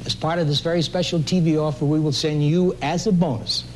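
Male commercial announcer, higher voice, emphatic record-offer pitch, faint noise behind. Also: fairly even intonation, brisk, darkish tone, low-quality recording. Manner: emphatic sales delivery.

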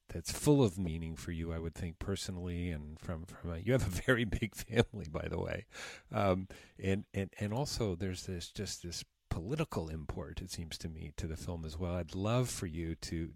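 A man with a very low voice hosts an interview show, stumbling through restarts and fillers as he talks. The sound is dry and close, with no room around it. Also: a little mellow, no echo. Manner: halting, many restarts and fillers. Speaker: podcast host. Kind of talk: casual interview with promo reads.

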